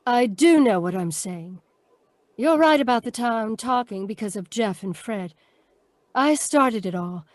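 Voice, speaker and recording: A woman's voice: on the high side, lively intonation, unhurried, a little mellow, no echo. A woman playing an earnest, lovestruck and remorseful young woman in an old-time radio melodrama. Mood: earnest, resolute.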